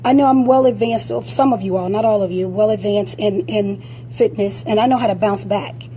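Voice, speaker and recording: A woman fitness coach with a raspy voice, giving blunt, direct motivational advice during a live-stream Q&A on a phone. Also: mid-range voice, steady tone, fairly quick, mellow, a little hiss or hum.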